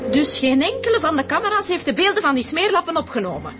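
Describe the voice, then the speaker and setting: An actress in a radio drama playing a brisk, indignant woman who manages a family business. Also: very high-pitched, very animated, loud music behind the voice. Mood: indignant, upset. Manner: businesslike, assertive, demanding.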